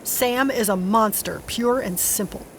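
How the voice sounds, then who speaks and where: A blunt, distrustful woman with a fairly high voice in an audio drama. She is firm and unforgiving, with light noise in the background. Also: a little slow. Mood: hard, decided.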